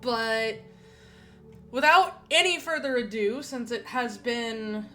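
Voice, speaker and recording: A woman streaming a game, chatting casually and rambling with many restarts, her voice a little nasal and rough. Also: fairly high pitch, slow, a bit bright, faint music behind. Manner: rambling, chatty, self-correcting with frequent repeats. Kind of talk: casual gaming stream chat.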